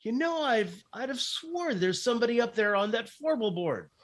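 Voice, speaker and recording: A man's voice: very high-pitched, sing-song, normal speed, heavy background noise. An actor playing a timid, easily spooked young oil-rig man in radio horror drama style, hesitant and folksy. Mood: uneasy. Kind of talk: old-time radio horror drama acting.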